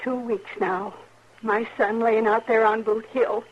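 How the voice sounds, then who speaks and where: An actress playing a proud, embittered older frontier mother in a 1950s radio western, husky and emphatic, with a folksy rural American accent. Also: fairly high pitch, fairly even intonation, a little slow. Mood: weary, insistent. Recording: dull, telephone-like 1950s radio recording.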